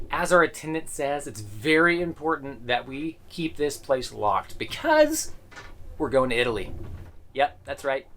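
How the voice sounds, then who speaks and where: Lively wry man touring a train cabin. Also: fairly high pitch. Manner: dry joking asides. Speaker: travel vlogger. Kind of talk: casual tour narration. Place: small sleeper train cabin.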